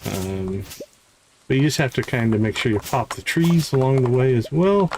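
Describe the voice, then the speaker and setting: A man's voice: mid-range voice, expressive, normal speed, background noise. A man doing casual live commentary as he plays a game, chatting in a friendly way with viewers. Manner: friendly, greets viewers by name. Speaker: livestream host playing a farming game.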